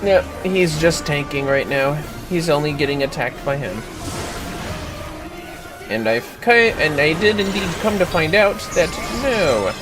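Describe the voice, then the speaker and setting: Male livestream host, casual gaming commentary, animated intonation, music underneath. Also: on the high side, a little slow. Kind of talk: casual game commentary and explanation. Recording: game music behind the voice. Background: game music.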